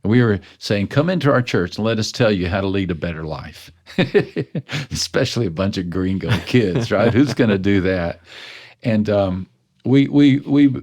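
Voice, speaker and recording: A man with a low, deep voice, talking as a pastor in an interview. He tells his story in an earnest, self-deprecating way, restarting his sentences as he thinks. The feel is relaxed, reflective conversation. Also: normal speed. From West Texas. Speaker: pastor and author, interview guest.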